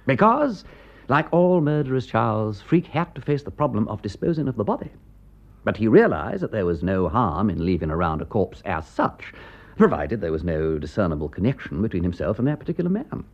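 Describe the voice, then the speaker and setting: An actor playing an aristocratic English gentleman detective in a radio drama, with an upper-class English accent and a witty, breezy, urbane manner. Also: fairly low pitch, very animated, a little fast, lo-fi sound. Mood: animated explaining. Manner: aristocratic, breezy, clipped period phrasing.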